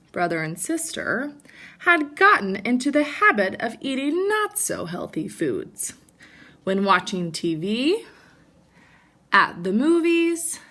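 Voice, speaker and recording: A woman teacher reading a children's storybook aloud in an expressive narrating style. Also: on the high side.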